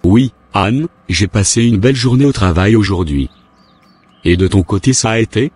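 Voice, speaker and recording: A curt, secretive husband. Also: male voice, on the low side, moderate pace, darkish tone, husky. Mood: casual. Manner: brusque, dismissive.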